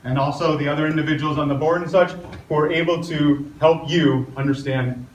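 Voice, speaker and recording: A man running a community meeting, his loud voice a little high. He talks in an upbeat way with frequent fillers. The recording is roomy and picked up from across the room. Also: steady tone, a little fast, dull audio. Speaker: meeting moderator. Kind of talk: public meeting emcee. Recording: roomy, distant, with faint noise.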